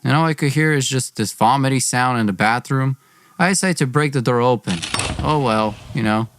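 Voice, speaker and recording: A man's voice: medium pitch, a bit bright, no echo. Young man telling a dry, close-miked story. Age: young adult. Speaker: podcast host and storyteller. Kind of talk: dramatized personal storytelling.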